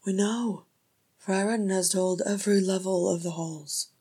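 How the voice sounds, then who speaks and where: A fatherly older dwarf man from a storybook, voiced by a woman. He admonishes with worry, husky and measured. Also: high voice, steady tone, mellow, heavily acted. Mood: worried. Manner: worried, admonishing.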